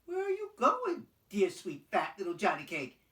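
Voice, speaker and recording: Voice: medium pitch, huge pitch swings, normal speed, bright, forceful tone. A storyteller voicing a mock-sweet, menacing wolf in a folktale. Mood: mock-sweet, challenging. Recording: roomy, distant microphone.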